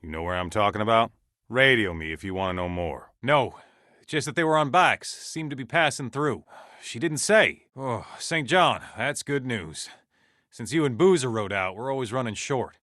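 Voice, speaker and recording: A man's voice: mid-range voice, expressive, moderate pace, pushed and bright, no room sound, clean studio sound. A man acting a folksy, commanding survivor camp leader in a video game, speaking plainly and naturalistically. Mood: businesslike, calling out, then terse, wary.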